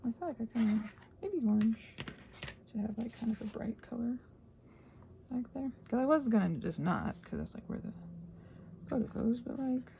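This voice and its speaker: A woman with a fairly high, creaky voice rambles casually as she works on a craft. She speaks softly, sometimes nearly whispering, thinking aloud and reassuring herself. Faint noise sits behind her. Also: animated, moderate pace, pauses often. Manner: soft-spoken, often dropping to a whisper; musing aloud, self-reassuring. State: short on sleep. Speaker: craft vlogger and ASMR host.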